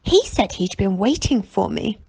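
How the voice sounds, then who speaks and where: A woman's voice: medium pitch, sing-song, a little slow, darkish tone, breathy edge, exaggerated voice. A woman voicing a shy, innocent young boy in a storybook, hesitant and questioning. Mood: puzzled.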